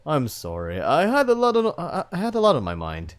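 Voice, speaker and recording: A performer voicing an evasive young male wolf, the chief's grown son, making halting excuses in a story. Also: medium pitch, huge pitch swings. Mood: sheepish. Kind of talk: amateur character voice in story read-aloud.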